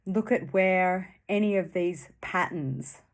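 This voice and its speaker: A woman leading a guided meditation in a soothing, gentle manner, leaving long pauses between short phrases. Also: on the low side.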